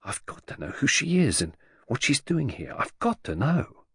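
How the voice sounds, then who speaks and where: A man's voice: mid-range voice, big pitch swings, moderate pace, fairly bright tone, slightly rough, no room sound, clean studio sound, pitched above the performer's own voice, exaggerated voice. A British man voicing a restless, disgusted forty-year-old man in an audiobook, emphatic and exclamatory. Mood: urgent. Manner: emphatic, exclamatory, searching for words.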